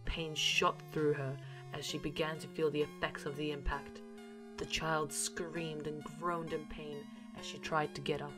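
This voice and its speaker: Female narrator for audio series, low voice, formal storytelling read, light music underneath. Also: flat delivery, unhurried. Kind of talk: scripted narration.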